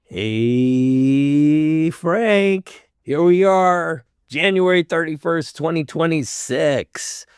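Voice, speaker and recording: A man with a hoarse voice from a cold, hosting a casual show where he talks through trading charts, thinking aloud in an easygoing way. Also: fairly high pitch, very slow, no room sound, studio quality. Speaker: host.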